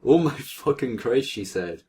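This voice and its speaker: A man's voice: mid-range voice, animated, a little slow, darkish tone. A man co-hosting a comic read-aloud of fan fiction, joking in asides as he reads.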